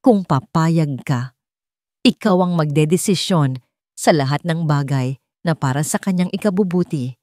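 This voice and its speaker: A woman plays a wealthy Filipino father in an audio drama. He speaks gently and earnestly, full of remorse. The voice is lowish and recorded very dry. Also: unhurried, no echo.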